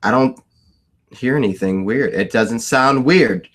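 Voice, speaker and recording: A man's voice: mid-range voice, unhurried, a bit bright. A man hosting a casual livestream, who laughs often, repeats himself and answers his chat. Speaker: livestream host.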